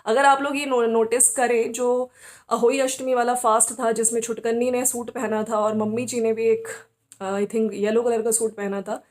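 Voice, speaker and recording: A woman's voice: fairly high pitch, flat delivery, normal speed, a little mellow. A woman hosts a commentary video. She talks conversationally and gives her opinions, often adding small tags to check that listeners follow. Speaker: YouTube commentary host. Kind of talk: informal opinion commentary on family vloggers.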